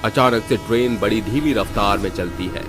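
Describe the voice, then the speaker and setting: A man's voice: fairly low pitch, moderate pace, over music. A man narrating a scripted horror cartoon story in a dramatic storytelling style.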